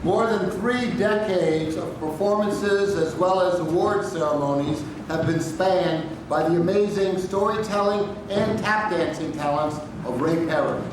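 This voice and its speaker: A man's voice: sits high, a little slow, few pauses. Formal male announcer in a hall. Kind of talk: scripted introduction. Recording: echoey hall from far off, noisy.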